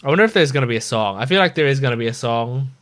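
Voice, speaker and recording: A man giving casual, chatty and excitable commentary as he streams a video game. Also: mid-range voice, rapid-fire.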